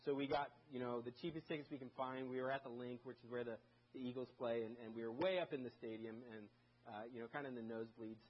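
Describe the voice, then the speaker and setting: Husky-voiced man preaching casually. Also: medium pitch, steady tone, quick pace, lo-fi sound. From Germany. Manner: chatty, self-deprecating, many restarts and fillers. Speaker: guest preacher. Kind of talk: conversational sermon with anecdotes.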